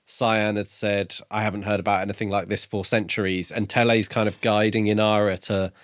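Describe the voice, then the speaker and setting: A man co-hosting a podcast, talking casually about books in a measured, slightly hesitant way. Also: on the low side, little pitch movement, no room sound, clean studio sound. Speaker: podcast co-host. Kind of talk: casual book discussion.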